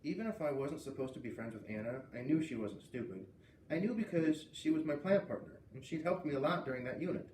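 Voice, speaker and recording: A man's voice: on the high side, lively intonation, fast talker, darkish tone. Man reading aloud in an echoey room. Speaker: read-aloud storyteller. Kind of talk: expressive children's book read-aloud. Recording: roomy, distant mic with faint noise.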